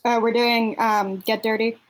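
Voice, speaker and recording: A woman's voice: on the high side, level tone, measured pace. A woman chatting casually and interjecting to direct and correct friends during a live dub.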